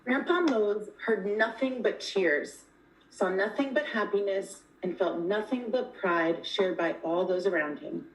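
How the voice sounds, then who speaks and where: A woman's voice: medium pitch, a little slow. A woman reading a children's picture book aloud, recorded in an echoey room from some way off. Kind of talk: read-aloud storytelling. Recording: echoey room, distant from the mic.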